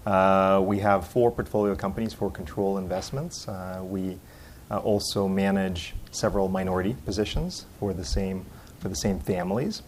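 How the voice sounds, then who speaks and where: A man introducing himself on a finance panel, flat and businesslike with many pauses. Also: lowish voice, slight background noise. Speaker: panelist, private equity investor. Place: conference hall.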